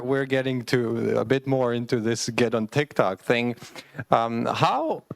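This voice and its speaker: A man's voice: mid-range voice, smooth, dry recording. A young man moderating a panel discussion, asking questions with frequent hesitations and fillers.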